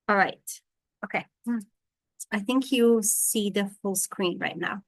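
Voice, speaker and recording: A woman's voice: medium pitch, takes it slowly, no room sound. A woman from Serbia giving an online scientific lecture as a university lecturer, speaking with many fillers and restarts. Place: home in Thailand during monsoon rain. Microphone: video call.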